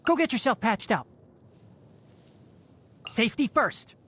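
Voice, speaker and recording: Lively, boyish fox-eared forest watcher. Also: male voice, very high voice, takes it slowly, husky, full character voice. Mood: exasperated, brisk. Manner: articulate, gently instructive, dry. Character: young male fox-eared forest watcher, bookish, kindly, dryly exasperated. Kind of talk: video game character voice lines.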